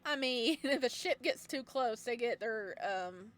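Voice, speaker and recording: A woman's voice: fairly high pitch, animated, measured pace, fairly bright tone. Giggly woman playing a dating game. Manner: giggly, playful reactions between read lines. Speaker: let's play host. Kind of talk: visual novel playthrough, reading and reacting.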